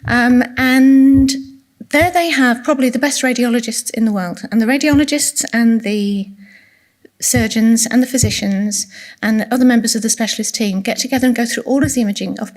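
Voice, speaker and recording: A woman giving a quiet-voiced conference talk, asking the audience questions as she goes. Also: a little high, a little fast, poor audio. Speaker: conference speaker. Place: conference room.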